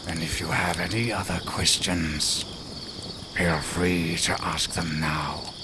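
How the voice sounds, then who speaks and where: A man playing a cunning, proud lone werewolf in an audio roleplay, speaking formally and deliberately with a menacing edge. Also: deep voice, background noise, full character voice. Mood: dark satisfaction. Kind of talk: slow theatrical audio roleplay.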